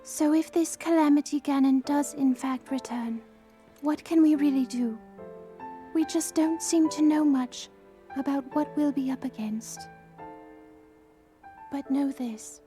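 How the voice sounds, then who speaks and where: An actress playing a gentle, devoted young princess who heals the hero, speaking softly in a game cutscene. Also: female voice, sits high. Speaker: game character.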